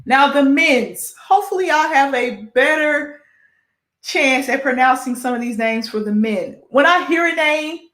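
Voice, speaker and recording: A woman's voice: high voice, lively intonation, unhurried, fairly bright tone, reverberant. A woman with an American Midwest accent hosting a sports show casually, chatty and self-deprecating as she reads through lists and sounds out names.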